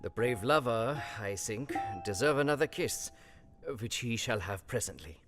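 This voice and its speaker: A man's voice: medium pitch, animated, lightly acted. Man acting commanding foreign professor in cavernous reverb. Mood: hushed, intent. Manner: quaint, formal, oddly phrased English with deliberate delivery. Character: earnest, commanding foreign professor-doctor, elder mentor. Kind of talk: dramatised audio reading of a Victorian gothic novel. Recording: long hall-like reverb, music underneath.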